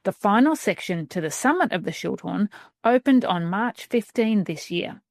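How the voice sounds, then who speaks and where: A woman's voice: mid-range voice, expressive, normal speed, no room sound. Woman hosting a travel podcast, dry sound. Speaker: podcast host.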